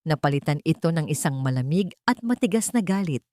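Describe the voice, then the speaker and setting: A woman's voice: low voice, lively intonation, a little fast, mellow, no echo, studio quality. A woman reading a scripted dramatic story as its narrator.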